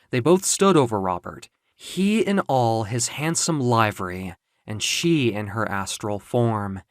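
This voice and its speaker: A man narrating a dark fiction story in a dramatic, theatrical and deliberate way, with music behind him. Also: medium pitch, dry recording, clean studio sound. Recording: music under the voice.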